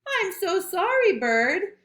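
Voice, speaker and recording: A greedy little storybook rabbit, squeaking with eager excitement, as a woman reading aloud puts him on. The voice jumps high and swoops around. It sounds like a roomy, echoey space. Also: takes it slowly, heavily acted. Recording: roomy echo.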